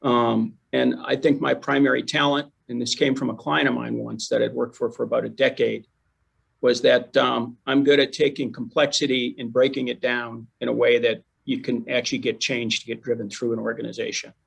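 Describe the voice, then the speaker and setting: A middle-aged man with a heavily gravelly, husky voice introduces himself as a chief executive on a panel. He talks briskly and confidently, rattling off a few facts before cutting himself short. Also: medium pitch, flat delivery.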